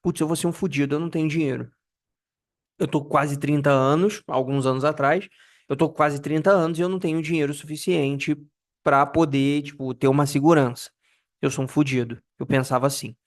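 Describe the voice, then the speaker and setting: A man's voice: fairly even intonation, fairly quick. A man in his early thirties from Brazil talking straight to camera about personal finance, candid and self-deprecating, often stopping to search for words. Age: 30s. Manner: pitch rises and falls widely for emphasis. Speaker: personal finance video host. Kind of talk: casual monologue to camera.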